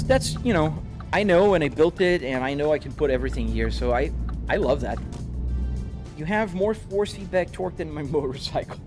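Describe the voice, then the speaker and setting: A man from Brazil with a Brazilian accent, chatting casually with viewers as he streams a driving game and reads chat aloud. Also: fairly high pitch. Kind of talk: live-stream chat while gaming. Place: home sim rig room.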